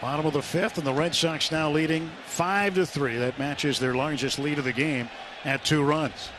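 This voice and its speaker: A man's voice: a little high, moderate pace. A man doing live radio play-by-play of a baseball game, in clipped descriptive phrases that lift on big plays. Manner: clipped descriptive phrasing, lifting into excited calls. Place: ballpark broadcast booth. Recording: radio broadcast with loud ballpark crowd noise.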